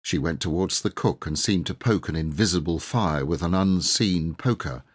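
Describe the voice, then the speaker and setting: A man narrating a children's audiobook in a measured, expressive storytelling manner. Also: low-pitched, breathy edge.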